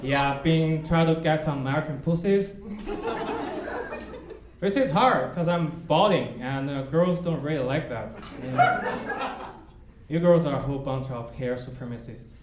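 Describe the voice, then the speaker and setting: A young man from China with a Chinese accent doing a self-mocking stand-up set on a comedy club stage. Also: a little high. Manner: deadpan, self-mocking, with pauses for laughs. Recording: echoey club with loud crowd noise. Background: audience laughter.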